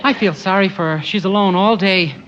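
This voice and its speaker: High-voiced meek husband, noisy old radio. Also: male voice, a little fast, a bit bright, muffled recording. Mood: trusting. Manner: meek, innocent. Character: naive, timid, devoted husband. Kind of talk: 1940s radio comedy acting.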